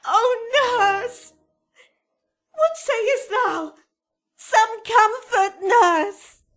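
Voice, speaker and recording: Female, young tragic heroine for classical radio play; high voice, slow impassioned verse delivery, theatrical. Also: fairly bright tone, full character voice. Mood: pleading, anguished. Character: desperate, passionate young noble daughter in love. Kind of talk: Shakespearean radio drama acting.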